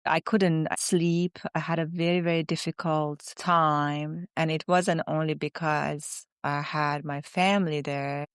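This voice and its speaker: Woman in her 40s, interview guest, gravelly voice, thoughtful and halting, dry close sound. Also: deep voice, level tone, dry recording. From Iran. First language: Farsi. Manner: reflective, halting, with restarts. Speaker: guest psychotherapist. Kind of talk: conversational interview.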